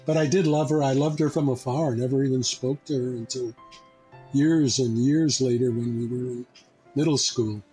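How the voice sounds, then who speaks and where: Medium-pitched man recalling memories plainly. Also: fairly even intonation, moderate pace, mellow, faint music behind, low-quality recording. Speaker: discussion participant. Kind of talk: personal reminiscence.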